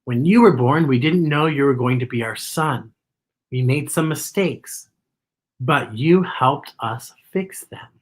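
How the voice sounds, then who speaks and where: Storyteller as a loving mother, soft reassurance, husky, lowered pitch, picture-book read-aloud. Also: expressive, measured pace. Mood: tender, reassuring. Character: gentle, reassuring, loving mother of a young boy.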